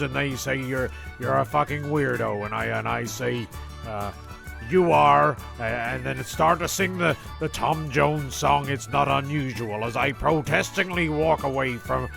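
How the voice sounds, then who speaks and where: A man playing a self-important podcast narrator, telling absurd anecdotes in a halting, rambling comic style. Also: mid-range voice, vocal fry. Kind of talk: comic monologue and scripted podcast. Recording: music behind the voice.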